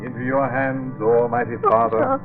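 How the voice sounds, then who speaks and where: A man's voice: medium pitch, sing-song, normal speed, close to an everyday voice. An actor playing a solemn clergyman at a burial, intoning in a declamatory old-time radio drama style. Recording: tinny vintage radio, loud music behind.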